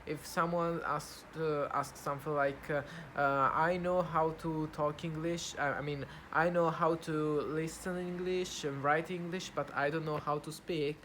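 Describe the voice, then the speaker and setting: A young Italian man talking to camera in English, his second language, with an Italian accent, pausing often with fillers and restarts as he searches for words. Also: fairly high pitch, fairly even intonation, a bit bright, a little hiss or hum. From Italy. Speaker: vlogger. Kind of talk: casual talk to camera, practising English.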